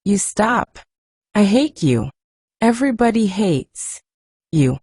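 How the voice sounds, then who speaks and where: Fierce little girl near shouting, read by a woman, lively swings of pitch, dry close sound. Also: slow pace, husky, no echo, full character voice. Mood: angry.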